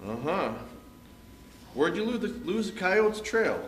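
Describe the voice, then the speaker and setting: A man's voice: high-pitched, big pitch swings, slow, heavily acted. A man playing a hearty, folksy Old West marshal in a radio play, full of cowboy slang. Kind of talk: old-time Western radio re-enactment. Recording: echoey room with background noise.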